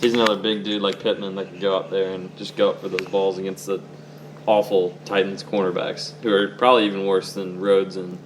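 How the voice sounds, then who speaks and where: A man's voice: sits low, level tone, fairly quick, slight background noise, low-quality recording. A young man chatting casually about sports as a fan and podcast guest.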